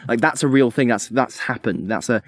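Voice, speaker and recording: Man in halting podcast discussion, dry sound. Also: medium pitch, dry recording. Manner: hesitant, halting, repeats "like". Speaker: podcast co-host. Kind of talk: casual critical discussion.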